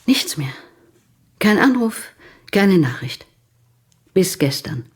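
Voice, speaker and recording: A woman's voice: lowish voice, sing-song, slow pace, breathy edge, full character voice. An actress playing a composed, strong-willed female client in a detective radio play, speaking with control and insistence. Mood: controlled concern. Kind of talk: German radio play acting.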